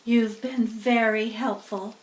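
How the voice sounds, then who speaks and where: A woman voicing a wise, gentle, tired female spider in a children's storybook, measured and reassuring. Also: on the high side, steady tone. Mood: calm. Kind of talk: children's storybook read-aloud. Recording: roomy echo, distant from mic.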